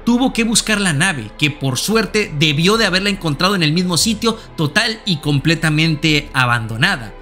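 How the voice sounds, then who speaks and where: A man with a fairly high, very bright and forceful voice narrates an anime explainer over background music. He speaks emphatically and breaks his scripted delivery with chatty asides to his viewers. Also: animated, normal speed, few pauses.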